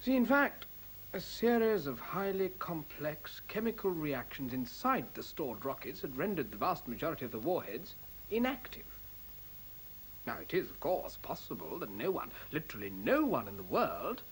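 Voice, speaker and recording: An actor playing an older scientist in a radio play, reasoning patiently in a precise, didactic, deliberate way. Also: male voice, on the high side, very animated, low-quality recording. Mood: patient, pointed. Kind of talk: radio drama acting. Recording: hissy old radio play recording.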